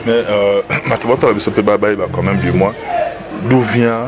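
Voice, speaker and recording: A lowish male voice asking long, meandering questions with plenty of fillers. The talk is recorded outside, with clear city noise underneath. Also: fairly even intonation, normal speed. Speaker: interviewer. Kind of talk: interview questions. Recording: outdoor street noise.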